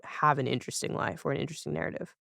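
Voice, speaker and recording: A woman's voice: deep voice, fairly even intonation, rapid-fire, gravelly, sharp tone, no room sound, studio quality. A woman hosting a podcast in a casual conversational style, thoughtful and wordy as she rephrases ideas. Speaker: podcast host.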